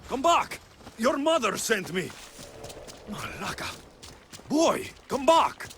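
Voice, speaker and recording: An actor playing a terse, protective, hot-tempered mercenary in a video game. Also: male voice, very high voice, big pitch swings, slow, exaggerated voice. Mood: urgent. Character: gruff male Greek mercenary hero, protective, hot-tempered. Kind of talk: dramatic video game acting. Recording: dramatic music behind the voice.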